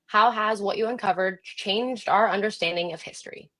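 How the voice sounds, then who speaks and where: A woman's voice: medium pitch, moderate pace, a bit bright. A woman hosting a podcast interview in a casual, conversational way, informal and full of appreciation for her guest. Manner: informal, effusively appreciative, affirming. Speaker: podcast host.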